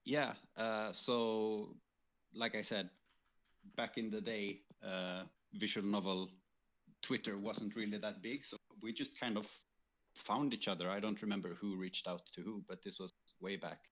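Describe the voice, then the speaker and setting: A man's voice: fairly low pitch, fairly even intonation, unhurried, fairly bright tone. A man co-hosting a livestream, talking informally and hesitantly as he recounts past work, repeating words while he searches. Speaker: livestream co-host and game developer.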